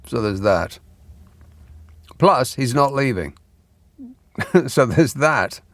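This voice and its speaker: A man hosting a late-night radio phone-in, reading listener texts with dry, deadpan sarcasm. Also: medium pitch, drawn-out words, no echo. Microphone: studio microphone.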